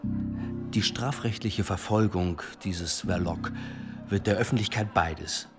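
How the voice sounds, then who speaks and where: A man acting in a German radio drama as a dry, self-assured police chief inspector, speaking in a measured, formal, pointed way. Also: low-pitched, music in the background. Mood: assured, insistent. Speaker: actor in a radio play.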